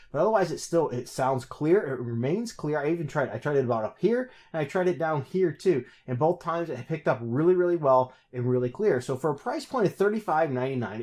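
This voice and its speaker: A man's voice: a little high, darkish tone. A man hosting a casual product review, talking chattily and often correcting himself mid-sentence. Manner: chatty, frequent self-corrections and restarts.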